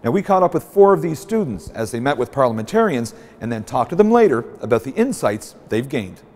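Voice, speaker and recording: A man's voice: mid-range voice, very animated, a little fast, a little mellow, big-room sound. A man host reading a scripted introduction for a news feature. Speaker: program host.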